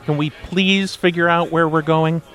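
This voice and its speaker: A man's voice: fairly high pitch, fairly even intonation, normal speed, a bit bright, faint music behind, lightly acted. A man playing a long-suffering, sarcastic podcast host in a comedy audio drama, speaking drily. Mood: dry. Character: exasperated, sarcastic male podcast host herding his friends.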